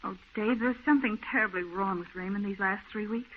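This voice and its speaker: An actress playing an earnest, worried young fiancee in a 1940s radio drama. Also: female voice, medium pitch, brisk, slight background noise, lightly acted. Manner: earnest, anxious. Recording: thin, tinny 1940s radio recording.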